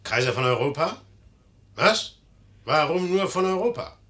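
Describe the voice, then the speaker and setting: A young, imperious general in an old radio comedy. The voice is very sharp and forceful, with animated swings in pitch. He is curt, cynical and sardonic, used to giving orders. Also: measured pace, exaggerated voice. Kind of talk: 1950s German radio comedy acting.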